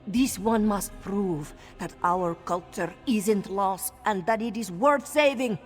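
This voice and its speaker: A woman's voice: mid-range voice, slow pace, close to an everyday voice. AI woman voice doing a proud cat-woman local who speaks in third person, with a reverb tail and game music behind. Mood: earnest. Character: proud elderly female cat-folk townswoman.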